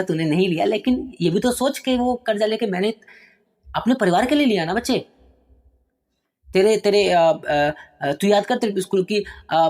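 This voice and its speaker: A man voicing a weary, gentle father who pleads calmly and patiently with his son. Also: high-pitched, close to an everyday voice.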